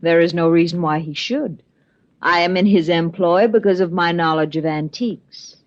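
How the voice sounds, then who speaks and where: An enigmatic, exotic woman played by an actress, coolly precise and formal. She speaks in a measured 1950s radio drama manner on a muffled recording. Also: fairly low pitch, lightly acted.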